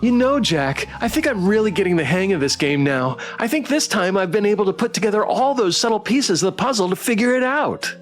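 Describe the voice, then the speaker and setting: A man streaming a visual novel, reading it aloud dramatically and adding playful, expressive commentary. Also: high-pitched, brisk, few pauses, soft background music.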